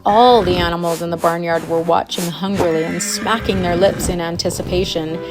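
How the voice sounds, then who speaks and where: A woman narrating a scripted children's fairytale with an expressive storybook delivery. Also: fairly low pitch, moderate pace. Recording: music behind the narration. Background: music.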